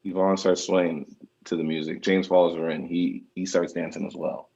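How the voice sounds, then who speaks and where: A man's voice: low-pitched, flat delivery, moderate pace, vocal fry, dark tone, dull audio. A man reading out screenplay directions and speaking casually as the writer at a table read. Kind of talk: screenplay narration.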